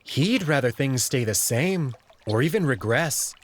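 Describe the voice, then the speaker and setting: Man voicing a polite, lively-pitched male alien servant. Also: measured pace. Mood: earnest. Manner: polite, explanatory. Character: friendly, well-spoken male alien servant with a tail. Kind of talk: visual novel character reading.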